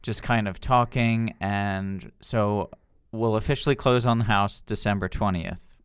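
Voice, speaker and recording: Young man, podcast co-host, casual banter, higher-set voice kept crisp, dry sound. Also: fairly even intonation, unhurried, no echo.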